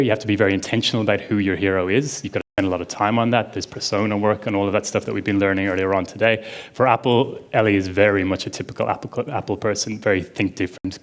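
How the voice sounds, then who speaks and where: A man speaks on a conference stage to a live audience in a fairly low voice. He talks in a chatty, enthusiastic way, often reaching for "like" as he goes. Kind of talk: casual conference talk.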